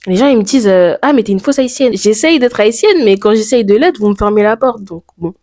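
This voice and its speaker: A teenage girl from France talks straight to her camera in a personal vlog. She thinks aloud, with many fillers and rhetorical questions, and her voice sits at an ordinary pitch. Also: animated, fairly quick, a little mellow. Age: teens. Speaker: YouTuber.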